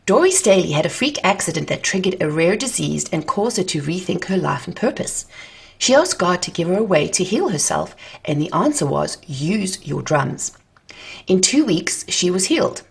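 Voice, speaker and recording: Husky low-voiced woman host. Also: sing-song, moderate pace. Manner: upbeat, faith-centered encouragement. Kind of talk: interviewing a guest.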